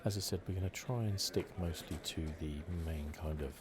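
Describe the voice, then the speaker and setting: Male gaming host, extremely low voice, hushed and unhurried ASMR playthrough, clear background noise. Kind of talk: relaxed ASMR video game playthrough commentary. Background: video game ambience.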